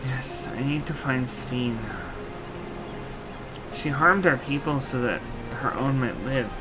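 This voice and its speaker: A man voicing an earnest, warm-hearted young female royal in a video game, resolute and emphatic in delivery. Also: mid-range voice, slow pace, close to an everyday voice, poor audio. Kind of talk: video game dialogue acting. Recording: game music behind the voice.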